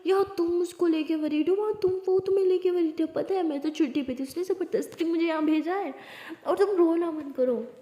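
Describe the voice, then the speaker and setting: A woman voicing a bossy but caring young college friend in a story, brisk, scolding and coaxing. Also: very high voice, warm tone, pitched above the performer's own voice. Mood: exasperated, coaxing. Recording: echoey room with long reverb.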